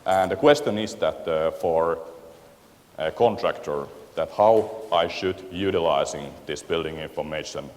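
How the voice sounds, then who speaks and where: Finnish guy giving a conference talk, real low voice, kinda halting, lots of hall echo. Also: a little mellow. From Finland. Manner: hesitant, searching for words in a second language. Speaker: guest speaker at a conference. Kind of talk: conference presentation. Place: large lecture hall. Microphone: handheld microphone. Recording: reverberant lecture hall.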